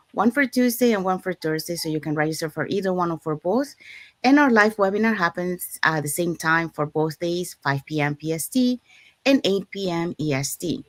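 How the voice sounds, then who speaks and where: A woman's voice: lowish voice, dry recording. Upbeat woman from Panama, dry sound. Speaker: co-host. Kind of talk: live-stream promo chat.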